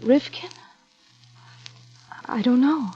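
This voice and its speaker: A woman's voice: high-pitched, drawn-out words. An actress playing a frightened, dazed young woman who answers police questions hesitantly, stammering, in 1950s radio drama style. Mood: shaken, evasive. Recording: muffled vintage radio broadcast, music.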